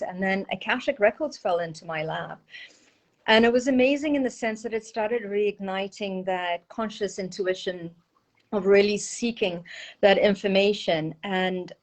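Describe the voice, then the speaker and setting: Chatty South African woman on a video call. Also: mid-range voice. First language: Portuguese. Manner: chatty, with frequent ums and light laughs. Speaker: guest. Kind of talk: casual interview chat.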